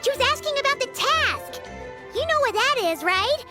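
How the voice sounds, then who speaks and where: A woman's voice: very animated, normal speed, loud music behind the voice. A woman voicing a small childlike fairy companion in a video game, bossy, emphatic and easily exasperated. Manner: squeaky, third-person speech, exasperated, emphatic.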